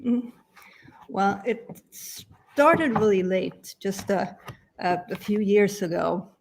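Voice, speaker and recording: A woman from Sweden, a guest on a video call, talking in a halting way with frequent restarts and small laughs. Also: mid-range voice, expressive, creaky, a little hiss or hum, poor audio. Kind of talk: casual interview chat.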